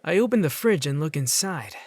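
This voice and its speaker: A man's voice: fairly high pitch, expressive, fairly quick, a little mellow, a little hiss or hum. A man playing a weary, wry teenage boy who narrates his night in a visual novel fan dub, dry and matter-of-fact. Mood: tired, matter-of-fact.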